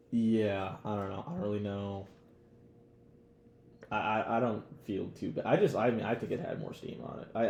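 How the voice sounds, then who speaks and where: A man's voice: fairly low pitch, warm tone. A young American man co-hosting a podcast, chatting casually with blunt, slangy, profane opinions. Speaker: podcast co-host. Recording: roomy echo, background noise.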